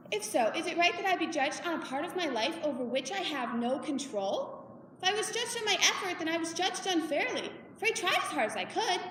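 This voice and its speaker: A woman's voice: extremely high pitch, a bit bright, voice pushed up, heavily acted. A woman playing an indignant little girl on stage, arguing her case in a string of rhetorical questions. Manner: argumentative, rapid-fire rhetorical questions. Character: indignant little girl pleading over a school grade. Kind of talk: theatrical stage monologue. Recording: echoey hall, far from mic, noisy.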